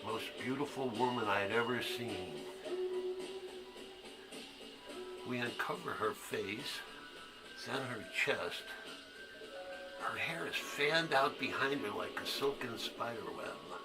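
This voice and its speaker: Man acting a slow-talking, crude storyteller over music. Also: mid-range voice, natural voice, rough recording. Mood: hushed, reverent. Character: crude, haunted man recounting a boyhood secret. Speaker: stage actor. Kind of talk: one-act monologue, storytelling.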